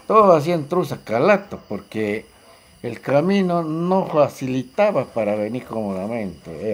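Older Peruvian man, raspy mid-pitched voice, halting delivery, casual testimony from a local resident. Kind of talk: casual spoken reminiscence.

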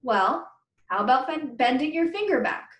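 Reluctant storybook fourth-grade boy, voiced by a woman: American, wry, argumentative, higher pitch, roomy recording. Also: expressive, normal speed, fairly bright tone, far from the mic. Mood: wry, bargaining. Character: reluctant, sarcastic fourth-grade boy narrator arguing with his pal. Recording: roomy, echoing webcam recording.

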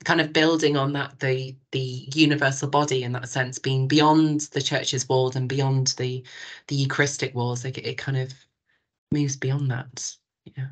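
A woman on a video call who asks a question, speaking tentatively with many pauses and restarts. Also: rumbling low, flat delivery. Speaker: discussion participant asking a question.

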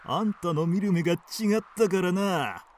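An actor playing a crude, sneering bandit henchman in a radio drama, with rough, mocking speech. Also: sits high, moderate pace, lightly acted. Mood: sly. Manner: rough, snickering, coarse speech.

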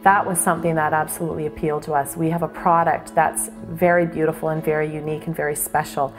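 A woman in her thirties speaks earnestly in a documentary interview. Her voice sits low and stays flat in pitch. Soft music plays faintly under her words. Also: fast, low-quality recording. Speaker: sea farm co-owner. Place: indoors by a window over a bay.

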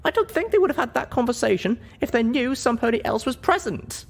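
A man's voice: very high voice, big pitch swings, a little fast, gravelly, breathy edge, pitched above the performer's own voice, heavily acted. A man voicing a small, stubborn young female pony in an earnest, emphatic storybook reading. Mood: indignant, insistent.

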